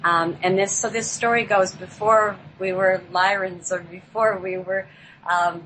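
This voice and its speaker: A woman guest on a talk show, speaking off the cuff in a halting way as she searches for her words. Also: medium pitch, steady tone, fairly bright tone, slight background noise, poor audio. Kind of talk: unscripted talk introducing a play.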